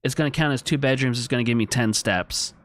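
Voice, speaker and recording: A man's voice: medium pitch, fast talker, a bit bright. A man streaming a video game, thinking aloud, chatting with his viewers and reading on-screen text as he plays. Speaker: gaming streamer. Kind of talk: casual let's-play commentary.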